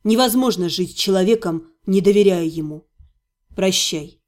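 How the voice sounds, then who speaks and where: A woman's voice: mid-range voice, voice pushed down, lightly acted. A woman voicing a bitter young male necromancer, a jilted fiancé speaking with cold, weary restraint in an audiobook. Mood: bitter, resolute. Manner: bitter, deliberate, reproachful. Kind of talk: dramatized audiobook dialogue.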